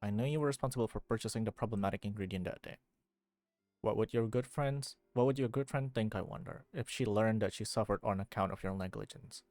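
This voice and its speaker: A woman's voice: extremely low pitch, fast, darkish tone, no echo, clean studio sound. A woman streaming a video game, commenting and reading dialogue aloud over game music.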